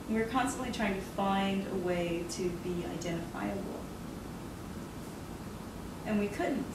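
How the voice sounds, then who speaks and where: A woman's voice: medium pitch, unhurried. Earnest woman author, roomy distant sound. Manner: earnest, reflective, laughs often. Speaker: author interviewed about her novel. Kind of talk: casual interview conversation. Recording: roomy and noisy, mic far off.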